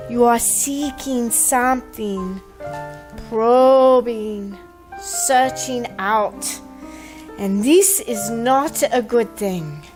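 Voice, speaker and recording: An ominous fortune-teller in a vintage radio mystery. Her high voice moves with extreme slowness, every phrase solemn and portentous. She commands like an oracle, with faint music underneath. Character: imperious, ominous mystic fortune-teller with crystal ball. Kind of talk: old-time radio drama acting.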